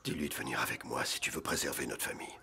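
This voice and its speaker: A man playing a stern, grave old Gaulish father-in-law in an animated historical drama, with a gravelly voice. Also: very deep voice, fast talker, a little hiss or hum, exaggerated voice. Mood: pressing. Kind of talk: animated docudrama acting in French.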